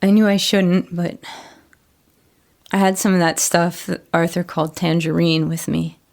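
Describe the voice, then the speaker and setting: A woman's voice: on the low side, little pitch movement, a little slow, gravelly, a little mellow. An actress playing a troubled, remorseful woman who confesses in a plain, earnest way in a horror audio drama. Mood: frightened confession. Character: troubled, remorseful woman struggling with addiction, pleading to be believed. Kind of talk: first-person horror audio drama monologue.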